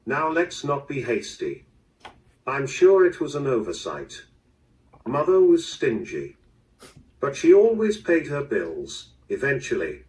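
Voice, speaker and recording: A man's voice: mid-range voice, wildly swooping pitch, slow, darkish tone. An actor playing a composed, proper man of a wealthy family in a mystery audio drama, speaking formally and politely. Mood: smooth, firm. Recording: distant, played through a small speaker.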